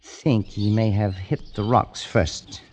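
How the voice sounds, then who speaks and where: A man's voice: on the low side, steady tone, takes it slowly, darkish tone. A man playing a careless, arrogant adventurer in an old-time radio drama, flippant and scoffing, hesitant when cornered. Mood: evasive. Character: careless arrogant male adventurer, later haunted. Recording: lo-fi archival radio recording with music.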